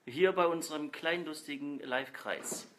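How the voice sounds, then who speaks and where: A man's voice: a little high, moderate pace, fairly bright tone. A man with a cold hosting a casual live stream, joking in a mock-formal way. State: has a cold. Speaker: stream host and band member. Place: small indoor room, seated on a sofa. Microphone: camera a few feet away. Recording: roomy with faint noise.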